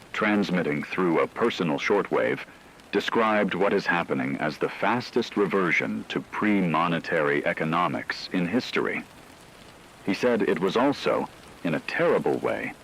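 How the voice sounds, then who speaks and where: A man reading a scripted emergency news bulletin in a grave, measured, formal way, as a radio announcer. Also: sits low, creaky, fairly bright tone, slight background noise, rough recording.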